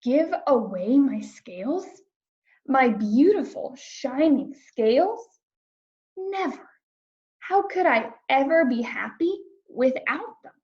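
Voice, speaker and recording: A woman's voice: high voice, lively intonation, takes it slowly, a little mellow, dull audio. A woman voicing a vain, proud male rainbow fish in a storybook read-aloud, speaking in a haughty, indignant manner.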